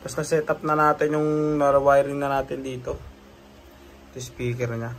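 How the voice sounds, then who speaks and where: A man's voice: medium pitch, completely flat delivery, moderate pace, vocal fry, slight background noise. A man vlogging casually, talking through an unboxing and setup as he handles the gear, informal and mixing in English words.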